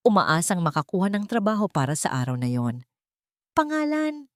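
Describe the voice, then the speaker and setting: A woman's voice: deep voice, animated, fast, no echo, studio quality. A woman with a Filipino accent giving a scripted, dramatic story narration.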